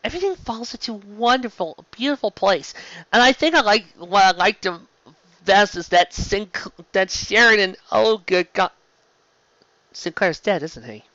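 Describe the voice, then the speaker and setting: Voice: mid-range voice, expressive, moderate pace, pushed and bright, dry recording, highs cut off. A show host giving a casual, rambling fan review in a gushing, emphatic way, often tripping over words and restarting. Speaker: host of a fan fiction live-read show.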